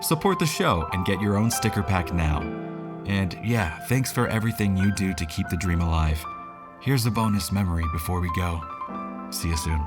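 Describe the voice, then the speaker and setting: Man as podcast host: fairly deep, informal promo read addressed to listeners, clear music underneath. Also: animated, normal speed. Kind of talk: casual scripted announcement to listeners.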